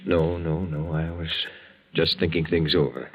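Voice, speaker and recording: A man's voice: very deep voice, flat delivery, breathy edge, full character voice. An actor playing a weary, weak-willed married man in debt, speaking hesitantly in a 1940s radio drama. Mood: weary, distracted. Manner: hesitant, halting. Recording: dull, lo-fi 1940s radio recording.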